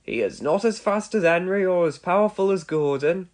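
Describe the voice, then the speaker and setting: A man voicing a kindly, authoritative gentleman railway boss in a children's storybook, with measured reassurance. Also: sits high, close to an everyday voice. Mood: pleased, praising.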